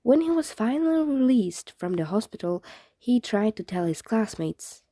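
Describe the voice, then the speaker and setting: Soft mellow lady voice narrating a fanfic audiobook style, really dry close sound, no room. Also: a little high, sing-song, normal speed, dry recording. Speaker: fan fiction podfic reader. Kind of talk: scripted narration, audiobook-style story reading.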